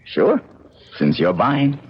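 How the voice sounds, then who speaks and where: An actor playing a bitter, world-weary, middle-aged painter in a radio mystery drama, blunt and sardonic. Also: male voice, mid-range voice, huge pitch swings, takes it slowly, husky, heavily acted. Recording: dull lo-fi old radio broadcast.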